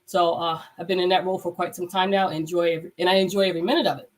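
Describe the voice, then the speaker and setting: A woman's voice: lowish voice, steady tone, very fast, rough recording. Congested woman veterinarian host. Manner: rambling, with many fillers and restarts. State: congested from a cold. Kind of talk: casual live-stream chat. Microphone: video call.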